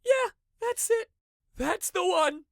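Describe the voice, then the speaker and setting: A woman's voice: extremely high pitch, expressive, takes it slowly, gravelly, no echo, studio quality, exaggerated voice. A woman playing a whiny, melodramatic, dim young man on trial in a comic courtroom game fan dub. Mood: panicked wailing. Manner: wailing, defensive. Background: background music.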